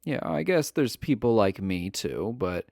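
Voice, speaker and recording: A man's voice: low-pitched, big pitch swings, measured pace, a little mellow, no room sound, studio quality. A man playing a shy, adorkable imprisoned villain in a romantic roleplay, speaking to the listener in a hesitant, stammering, earnest way. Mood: hesitant, explaining.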